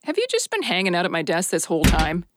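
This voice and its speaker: A woman's voice: fairly low pitch, wildly swooping pitch, fast talker, noisy background. An actress playing a bitter, demoted female police detective with a curt, sardonic manner. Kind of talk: audio drama acting with narration.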